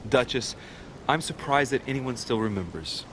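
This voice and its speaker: A man acts out a young French diplomat in a game cutscene. He is polite, formal and self-assured, with a questioning manner and a middle-pitched voice. A clear rumbling ambience runs behind him. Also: expressive, moderate pace, fairly bright tone, slight background noise, natural voice. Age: young adult. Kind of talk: video game cutscene acting.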